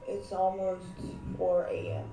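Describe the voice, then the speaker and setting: A woman's voice: lowish voice, big pitch swings, slow pace, warm tone. Korean girl, tired and giggly teasing, korean accent, echoey call, music behind. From South Korea. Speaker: video chat stranger. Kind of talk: casual chat. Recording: roomy video call audio, music behind.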